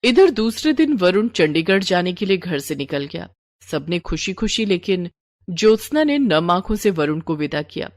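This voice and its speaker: A woman's voice: lowish voice, very animated, normal speed, no room sound, studio quality. A woman narrating a scripted moral story as the storyteller.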